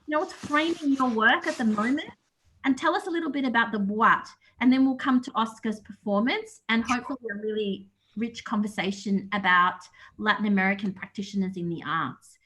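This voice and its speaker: A woman's voice: on the high side, brisk, a bit bright, rough recording. A woman hosting an online panel, introducing guests in a friendly, slightly hesitant way. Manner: friendly, a little hesitant, with fillers. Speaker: panel host. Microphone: video call.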